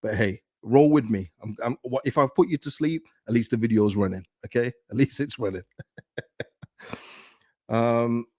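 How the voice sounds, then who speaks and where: A man with a deep, soothing voice hosting a football fan channel, chatting in a casual, rambling way as he talks with viewers. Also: normal speed, darkish tone, no room sound, studio quality. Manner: conversational, rambling. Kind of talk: casual livestream chat, reading and answering viewer comments.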